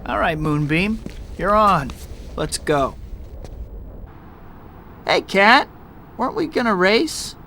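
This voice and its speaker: Earnest, higher-voiced young superhero. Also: male voice, sing-song, slow, long pauses, sharp tone, slight background noise, heavily acted. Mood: dry, wry. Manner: earnest, dry. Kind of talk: video game voice acting. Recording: prominent music behind the voice.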